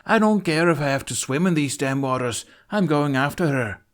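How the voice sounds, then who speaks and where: A man voicing a stubborn, troubled Viking father with a forceful, emphatic delivery in an audiobook. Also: on the high side, expressive, moderate pace, voice pushed up, natural voice. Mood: angry, determined.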